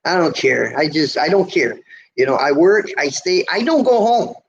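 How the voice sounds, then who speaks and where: Middle-aged male trucker host, a bit high and squeaky, chatty and folksy, faint hiss behind. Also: sing-song, moderate pace, creaky. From United States. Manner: rambling, folksy, with many fillers and restarts. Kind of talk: casual live chat reading viewer comments. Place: motel room. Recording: lo-fi livestream audio, faint noise.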